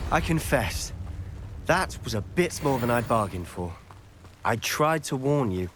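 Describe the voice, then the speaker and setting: A man's voice: medium pitch, animated, a little slow, noisy background, natural voice. Brooding young wizard played by a man. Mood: somber. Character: earnest, defiant teenage wizard boy, protective brother.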